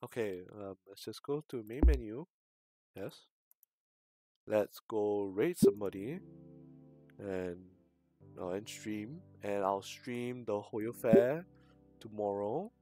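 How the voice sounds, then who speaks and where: A man's voice: on the low side, animated, takes it slowly, long pauses, warm tone. A man livestreaming a visual novel, talking casually in hesitant, rambling asides that often drop into a mumble. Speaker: streamer. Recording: music behind the voice. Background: game music.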